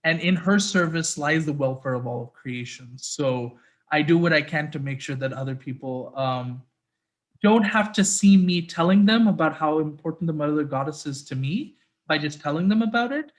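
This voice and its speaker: A man with a fairly high voice speaks earnestly and reflectively, a guest speaker on a video call. His throat is sore, but he keeps an even, devotional tone as he explains ideas and answers questions. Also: moderate pace. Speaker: guest speaker, interfaith activist. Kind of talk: talk then answering audience questions.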